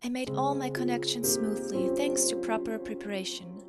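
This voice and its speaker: A woman's voice: on the high side, normal speed. A woman streaming a game, chatting casually and reading story text aloud, often hesitant and interrupting herself. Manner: hesitant, self-interrupting, soft exclamations. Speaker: VTuber streamer. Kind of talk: casual game livestream chat with read-aloud visual novel text. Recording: music playing behind the voice. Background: soft piano music.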